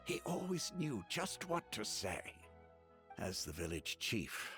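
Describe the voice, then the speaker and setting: An actor playing a gentle, gracious, frail old village chief in a video game, speaking slowly. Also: male voice, on the low side, wildly swooping pitch, full character voice. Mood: wistful. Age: older. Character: kindly, frail old male village chief with failing sight. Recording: music under the voice. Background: game music.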